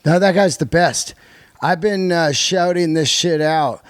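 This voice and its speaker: Man, higher voice, excitable podcast host, effusive, slangy and sweary, dry close sound. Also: measured pace, clean tone, dry recording. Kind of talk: unscripted podcast intro and chat.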